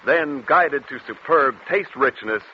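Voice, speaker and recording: A male announcer delivers a vintage radio advertisement. His mid-range voice moves with lively melody, captured in dull 1940s broadcast sound with faint noise. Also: mid-range voice, unhurried. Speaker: commercial announcer. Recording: muffled, noisy 1940s radio recording.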